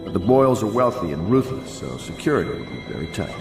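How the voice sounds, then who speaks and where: An actor playing a stern, dignified older naval officer in a video game, measured, formal and authoritative. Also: male voice, on the low side, sing-song, vocal fry. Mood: grave, resolute. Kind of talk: dramatic game cutscene dialogue. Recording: echoing room under loud music.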